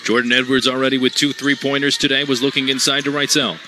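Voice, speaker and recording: A man's voice: medium pitch, little pitch movement, fast. A man working as a play-by-play announcer at a live basketball game. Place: courtside in a basketball arena. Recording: noisy live arena broadcast.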